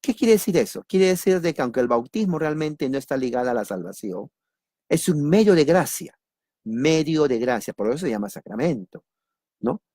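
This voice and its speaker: A man from Peru with a Peruvian accent, teaching doctrine in a sermon, repeating key words and asking and answering his own questions, with a lively, joking manner. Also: a little high, normal speed, dry recording, clean studio sound. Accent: Peruvian Spanish. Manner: lively, jokes with listeners. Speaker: preacher.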